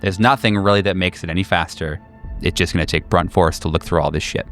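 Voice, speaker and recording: A man's voice: sits low, fast talker, fairly bright tone, soft background music. A man acting as game master, narrating a tabletop horror game in a conversational way.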